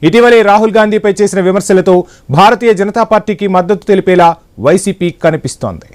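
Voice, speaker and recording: A male news anchor, reading breaking political news in a brisk, formal broadcast manner. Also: high-pitched, darkish tone.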